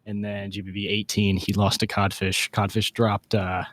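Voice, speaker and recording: Casual joking podcast host, close dry sound. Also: male voice, fairly low pitch, flat delivery, normal speed, dry recording. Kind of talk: casual group podcast chat.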